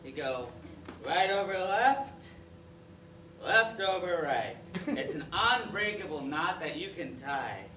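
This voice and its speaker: A man's voice: fairly high pitch, very animated, slow pace, pushed and bright, recorded from a distance, exaggerated voice. A man on stage playing a bitter, washed-up former scoutmaster in a live stand-up character set, talking in a rambling, bitter way. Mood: gruff. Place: live comedy venue with audience. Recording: echoey room recorded from afar, noisy.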